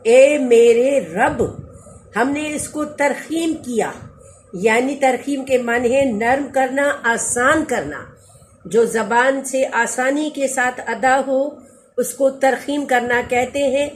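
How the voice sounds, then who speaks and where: Woman teaching a lesson real slow, kinda high voice, faint hiss behind. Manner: patient, explains word by word, repeats terms. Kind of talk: slow Quranic grammar lecture.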